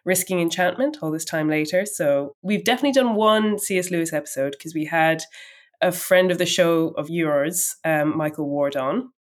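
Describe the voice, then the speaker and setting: A woman guesting on a podcast, chatty and laughing between thoughts, a little raspy from a summer cold. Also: lowish voice, normal speed. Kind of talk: casual conversation.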